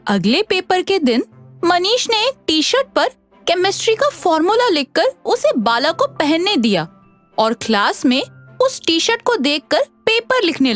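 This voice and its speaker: A woman reading scripted narration for an animated children's story. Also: very high-pitched.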